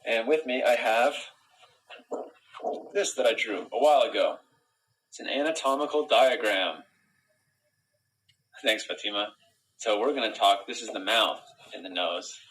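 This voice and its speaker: A man's voice: on the low side, a little slow, long pauses, slight background noise, rough recording. Young American man teaching pronunciation. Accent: General American. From Utah, United States. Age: young adult. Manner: relaxed, friendly, explains step by step with fillers. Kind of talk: live-streamed pronunciation lesson, reading chat. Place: small indoor room with window blinds. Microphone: phone camera close-up.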